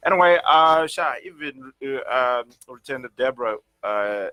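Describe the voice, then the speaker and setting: A man's voice: medium pitch, animated, moderate pace, sharp tone, no echo. A man hosting a breakfast radio show, playful and teasing, full of "you know" as he chats. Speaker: radio host.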